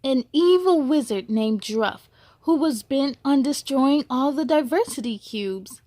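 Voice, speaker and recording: A woman reading a children's story aloud as host, expressive and exclamatory, speaking to young listeners. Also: high-pitched, no echo. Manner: slow, deliberate, exclamatory delivery for children.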